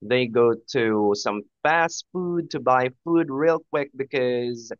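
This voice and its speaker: A man's voice: mid-range voice, slow pace, dry recording, muffled recording. Patient male English tutor on a call. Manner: encouraging, patient, gives example phrases. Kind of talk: one-on-one conversation lesson.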